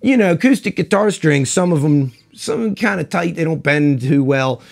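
A man in his forties with a stuffed-up, congested voice, slightly high and gravelly with fry. He talks in a loose, folksy, rambling way, full of restarts, into a lapel mic in his home music room. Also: animated, moderate pace. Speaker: guitar reviewer. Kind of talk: casual product review chat.

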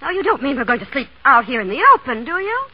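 A woman's voice: high voice, sing-song, fast. An actress playing a pampered, plaintive, spirited young woman in a 1930s radio drama. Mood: plaintive, indignant. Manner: plaintive, questioning. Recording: dull, noisy 1930s radio recording.

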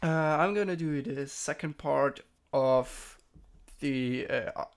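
A young man with a slightly sick, gravelly voice rambles through a screen tutorial at an unhurried pace. The tone sits a little high yet stays smooth between the rough patches. He fills gaps with "uh" and fixes his own words as he goes. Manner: rambling, many fillers and self-corrections. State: sick, throat-clearing voice. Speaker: tutorial streamer. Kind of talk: casual screen-recorded software tutorial. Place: home room.

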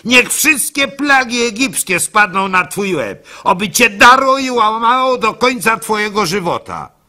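A man voicing a furious, brazen wife who scolds and curses loudly in a radio play. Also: sits high, animated, measured pace, voice pushed up, exaggerated voice. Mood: furious cursing. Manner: loud, cursing, hectoring.

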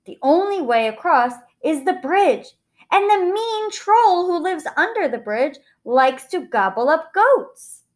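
A woman's voice: very high-pitched, big pitch swings, slow pace, pitched above the performer's own voice, full character voice. A woman voicing a cautious, worried male billy goat in a storybook, earnest and warning. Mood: warning, fearful. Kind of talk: children's storybook character voice.

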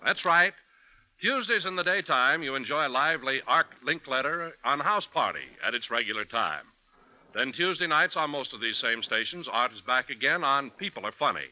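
A man's voice: mid-range voice, expressive, normal speed, pushed and bright, poor audio. A man announcing in polished, punchy 1950s radio promo style.

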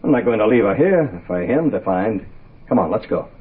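Gray, a husky, curt crime-drama sidekick. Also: male voice, fairly low pitch, big pitch swings, moderate pace. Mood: curt, decisive. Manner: curt, matter-of-fact. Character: grim, decisive male detective's associate, Gray. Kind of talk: 1960s radio drama acting. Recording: tinny vintage radio recording.

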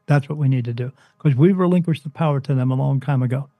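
A man from New Jersey, a spirit medium interviewed as a guest, talking emphatically and insistently, his voice soothing and deep. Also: fast talker, mellow, no room sound. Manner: emphatic, insistent, swings up in pitch when stressing points. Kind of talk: interview, impassioned preaching monologue.

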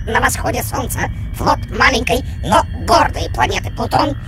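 A proud little alien leader, played by an actor, pompous and solemn. The declamation moves up and down in a lively way, with music behind, as in a children's cartoon. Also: mid-range voice, moderate pace, close to an everyday voice. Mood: solemn, threatening. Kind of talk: animated children's cartoon acting.

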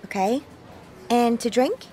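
A woman's voice: fairly high pitch, slow pace, dark tone, slight background noise. A woman reading the part of a polite, apologetic waitress in a coursebook dialogue.

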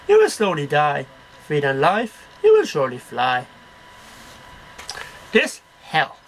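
High, bright-voiced man reciting poetry. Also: a little hiss or hum, poor audio. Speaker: amateur poetry reader. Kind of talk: reading poems aloud to camera. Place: small cluttered bedroom. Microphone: webcam at about arm's length.

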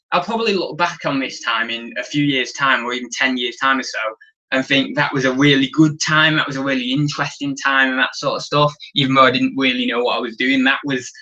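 Young guy rambling on a live stream, bright voice, lots of ums, small room camera. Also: medium pitch, highs cut off. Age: young adult. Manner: rambling, full of ums and restarts. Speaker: reseller vlogger reading live chat. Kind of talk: casual live stream chat. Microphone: camera on tripod.

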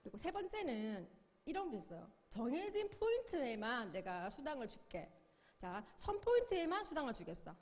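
A woman's voice: high-pitched, sing-song, normal speed, darkish tone, long reverb tail. A middle-aged woman giving a sales seminar lecture, upbeat, explaining with questions and acted-out quotes. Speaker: business seminar speaker.

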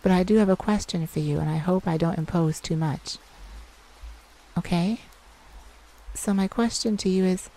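Caring vampire acted by a woman, low pitch, slow pace, intimate ASMR roleplay, gentle, soothing, lightly teasing, pauses and sighs. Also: rough recording. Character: caring, teasing, sympathetic vampire confiding in a hunter. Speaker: audio roleplay performer.

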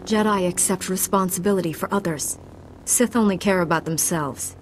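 An actress playing an earnest, resolute Jedi knight in a video game cutscene, speaking calmly and firmly. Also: female voice, lowish voice, moderate pace, a little hiss or hum, close to an everyday voice, poor audio. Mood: firm, defiant. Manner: calm, firm, earnest.

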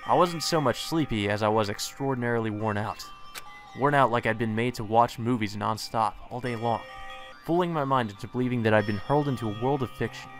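A man's voice: medium pitch, a little fast. A man reading a visual novel aloud as a let's play host, mixing narration with casual commentary. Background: game music.

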